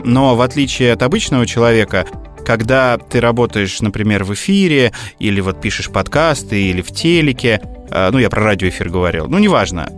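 Male podcast co-host, medium pitch, rambling conversational delivery with hesitations, light music bed. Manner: hesitant, digressive, with many filler words. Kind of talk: casual conversational storytelling.

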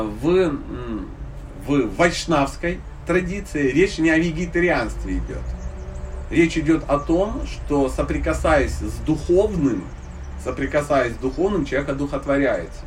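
A man lectures informally on spiritual matters in a slightly high voice with very lively intonation. His way of talking is blunt and conversational, full of slangy turns. The microphone sits some way off from him. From Dnipropetrovsk, Ukraine. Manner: conversational, blunt slangy wording, teaching through humorous allegories. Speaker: spiritual teacher giving a lecture. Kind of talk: informal religious lecture with audience questions. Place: live talk to a listening group. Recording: distant microphone, faint background noise.

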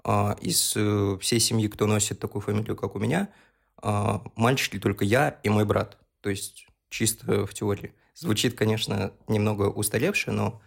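A man's voice: fairly low pitch, fairly even intonation, moderate pace, gravelly. A man in his early twenties chatting on a podcast, explaining his views earnestly and at length. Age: 20s. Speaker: podcast co-host. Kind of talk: casual podcast chat.

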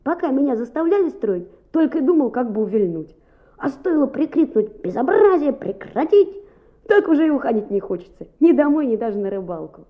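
Naughty chatty schoolboy for a radio play, pretty high voice, old muffled tinny audio. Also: female voice, fairly quick. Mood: sly, self-satisfied. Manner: expressive, boyish storytelling. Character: mischievous, chatty schoolboy, cheeky and artful. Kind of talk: radio play narration and dialogue. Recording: muffled, narrow-band old radio recording.

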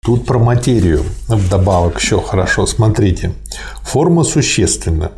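A man in a philosophy discussion, reading quoted passages aloud in a measured way. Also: on the low side, lively intonation, darkish tone, a little hiss or hum. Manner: measured, reads aloud steadily. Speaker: co-host reading and discussing texts.

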